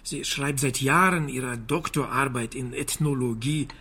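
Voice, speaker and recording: An actor playing a wry, evasive private detective in a radio drama, husky, dry and offhand in a naturalistic style. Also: male voice, mid-range voice, measured pace, sharp tone. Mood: dry, sardonic.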